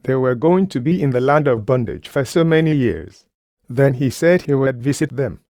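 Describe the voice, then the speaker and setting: A man's voice: medium pitch, animated, normal speed, a little mellow, no echo, studio quality. A man preaching a sermon, speaking emphatically, repeating key phrases and putting rhetorical questions to his listeners.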